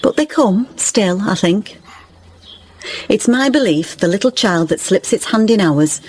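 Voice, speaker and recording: A woman's voice: medium pitch, lively intonation, measured pace, a little mellow, a little hiss or hum, lightly acted. An actress playing a kindly, pious village woman, homely and earnest, in a period radio drama. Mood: tender, reflective.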